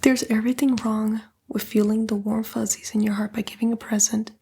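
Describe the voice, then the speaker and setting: A woman voicing a sly female demon in a whispered, breathy ASMR roleplay, coaxing and taunting the listener in a casual way. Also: on the high side, level tone, brisk, dark tone, exaggerated voice.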